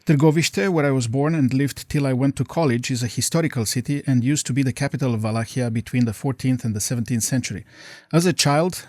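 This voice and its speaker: A middle-aged man from Romania answers interview questions in careful, formal sentences. His voice sits at an ordinary pitch and is recorded close and dry, with no room sound. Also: darkish tone, dry recording. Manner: measured, formal phrasing. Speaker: guest professor.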